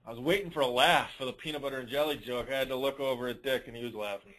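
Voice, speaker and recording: Man, medium pitch, informal coaching talk, question-and-answer, advisory, plain-spoken, emphatic. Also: fast, fairly bright tone, poor audio. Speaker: lawn care business coach hosting a dealer call.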